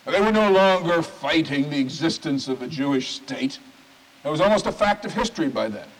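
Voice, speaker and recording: A man, a rabbi, giving a sermon in an oratorical manner, stacking phrases with emphatic pauses. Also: fairly high pitch. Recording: reverberant hall recording.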